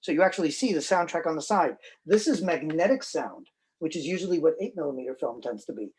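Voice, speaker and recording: A man's voice: on the high side, lively intonation, fast talker, darkish tone, husky. A man hosting a casual unboxing, chatting and explaining in a playful, jokey showman way. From New York. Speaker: host, film collector and presenter. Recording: clicky, faintly noisy live room recording.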